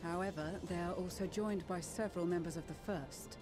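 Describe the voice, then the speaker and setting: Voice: medium pitch, fairly even intonation, fairly quick, over music, close to an everyday voice. An actor playing a composed, principled commander, speaking formally and with measure in scripted game dialogue. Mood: composed, resolute. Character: composed, principled commander giving a military briefing.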